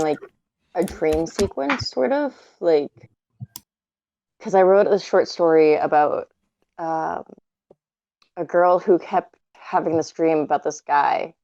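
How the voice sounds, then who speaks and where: Gravelly low young woman, dull mic. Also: warm tone, dry recording. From Canada. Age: young adult. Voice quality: gravelly, vocal fry. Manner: hesitant, self-correcting. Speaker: podcast guest writer. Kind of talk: casual chat.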